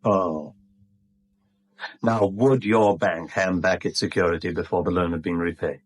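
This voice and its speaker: An actor playing a smooth, gloating blackmailer in a 1970s radio drama, with a British accent and a glib, mock-polite, theatrical manner. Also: male voice, low voice, steady tone, unhurried. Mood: smug, bargaining.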